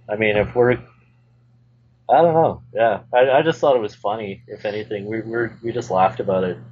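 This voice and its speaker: A man's voice: lowish voice, a little mellow, husky, dull audio. A man, a band member guest, talking in a laid-back, rambling way and swearing casually. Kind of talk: casual chat.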